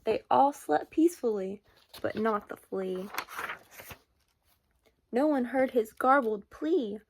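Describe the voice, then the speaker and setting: Woman reading a kids book out loud, high voice, really slow and sing-songy. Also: expressive, a little hiss or hum. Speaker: picture book reader. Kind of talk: children's storybook read-aloud.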